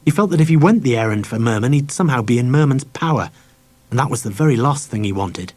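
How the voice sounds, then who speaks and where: A man's voice: medium pitch, expressive, quick pace, a little mellow. A man narrating a children's novel as a scripted audiobook.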